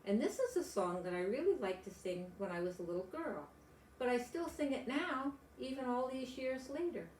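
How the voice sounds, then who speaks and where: An older woman, a grandmother storyteller, reading aloud and chatting to young children in gentle, simple phrases. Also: on the high side, expressive, moderate pace. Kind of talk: children's read-aloud and chat to young listeners. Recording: echoey room with background noise.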